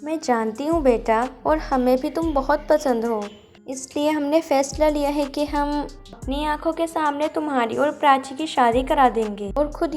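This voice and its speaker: A woman voicing a composed, confident middle-class mother in a cartoon moral story, measured and motherly. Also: sits high, darkish tone, lightly acted. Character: girlfriend's mother, composed, confident city matron.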